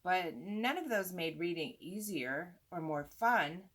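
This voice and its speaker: A woman's voice: lowish voice, expressive, unhurried, a bit bright. A woman reading a children's book aloud expressively, drawing out words for emphasis and adding asides for young listeners. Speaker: storytime reader, teacher-like host.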